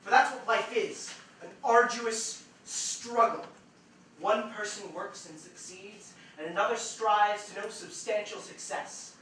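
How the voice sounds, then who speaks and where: A man's voice: sits high, fairly even intonation, measured pace, a bit bright. A man on stage playing a skeptical, sarcastic writer with a theatrical, melodramatic streak. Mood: impassioned. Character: skeptical, sarcastic male writer given to overblown zeal. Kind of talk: theatrical staged-reading dialogue. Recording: distant echoey stage recording, faint noise.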